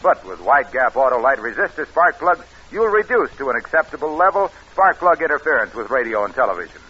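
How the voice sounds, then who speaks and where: A man playing a blustery, boastful, husky yarn-spinning pitchman in an old radio commercial sketch. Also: big pitch swings, brisk, sharp tone, exaggerated voice. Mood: emphatic selling. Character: blustery, boastful male yarn-spinner selling spark plugs. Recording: muffled old radio recording.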